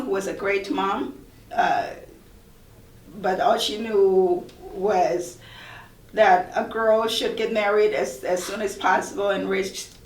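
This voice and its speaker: Woman speaking from far in a roomy space. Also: mid-range voice. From Thailand. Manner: earnest, reflective, with repeated restarts. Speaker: college professor. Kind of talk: panel discussion. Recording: roomy echo, far from mic.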